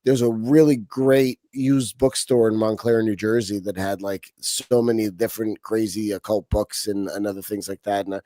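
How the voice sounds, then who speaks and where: Animated man from Romania, dry sound. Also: lowish voice, normal speed, few pauses, no echo. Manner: animated, frequent restarts and fillers. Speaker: podcast guest. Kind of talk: casual interview talk.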